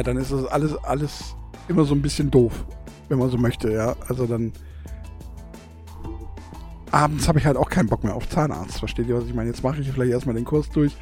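A man's voice: medium pitch, darkish tone. A young man hosting his own podcast in casual chat. He lisps through a gap in his teeth, laughs often and mocks himself. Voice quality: lisp from a tooth gap. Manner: chatty, laughs often, self-mocking. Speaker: podcast host. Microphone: studio microphone.

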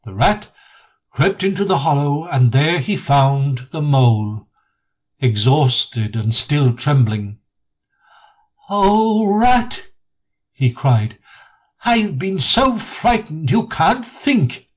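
A man's voice: medium pitch, slow pace. A man voicing a small, timid male mole who speaks feebly and shakily. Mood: frightened. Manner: feeble, trembling.

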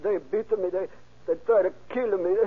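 An actor playing a beaten, frightened young immigrant in an old radio drama, pleading weakly in halting broken English through pain. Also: male voice, sits high, steady tone. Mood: distressed protest. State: in pain, weak, groaning. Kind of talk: 1940s radio acting. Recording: noisy, crackly, narrow-band old radio recording.

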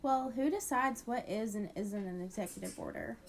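A woman's voice: on the high side, normal speed. A woman co-hosting an educational podcast, playing the curious learner in a scripted dialogue. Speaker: podcast co-host.

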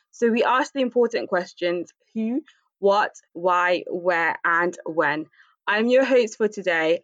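A woman's voice: medium pitch, no room sound. A woman hosting a podcast, speaking as an interviewer who introduces and questions a guest.

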